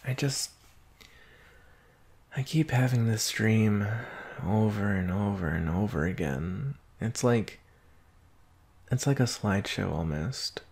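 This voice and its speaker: A young man playing an anxious, devoted werewolf in an intimate ASMR romantic roleplay, speaking hesitantly in a husky, breathy voice. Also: on the low side, darkish tone, exaggerated voice. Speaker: roleplay voice actor.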